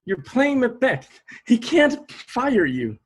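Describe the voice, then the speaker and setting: A man playing a steady, sensible, caring theatre troupe member, soothing and mildly scolding in an audio play. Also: very high voice, takes it slowly, husky, heavily acted. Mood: dry, helpful.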